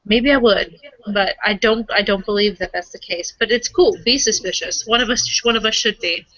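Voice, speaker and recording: A woman's voice: mid-range voice, normal speed, a bit bright, no room sound. A woman playing a tabletop roleplaying game, talking casually in character and in asides. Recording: dry but dull, lo-fi microphone.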